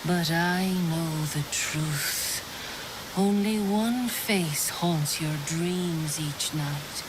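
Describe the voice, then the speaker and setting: A menacing villainess, lowish and extremely slow. She croons sweet taunts in fantasy game style beneath very loud background noise. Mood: sinister crooning. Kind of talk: fantasy video game dialogue acting.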